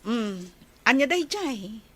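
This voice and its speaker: Animated Ilocano big sister played by an actress. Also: female voice, a little high, slow, exaggerated voice. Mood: surprised, amused. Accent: Filipino (Ilocano). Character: lively older sister of a young man. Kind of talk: Ilocano radio comedy drama acting.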